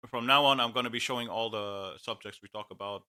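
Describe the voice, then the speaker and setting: A man's voice: lowish voice, quick pace, crowd noise. A man co-hosting a casual tech podcast, talking hesitantly with many fillers and frequent laughs. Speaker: podcast co-host.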